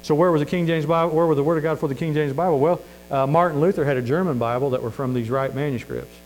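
A man's voice: a little high, fast, darkish tone, low-quality recording. A man with a Missouri accent preaching a sermon in a folksy, earnest way, full of fillers and restarts. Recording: faint mains hum.

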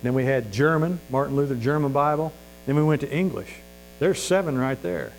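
A man's voice: medium pitch, brisk, rough recording. Earnest folksy preacher, a man with a Missouri accent and a mid-range voice, with a light mains hum on the track. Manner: folksy and earnest, many fillers and restarts. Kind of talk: sermon.

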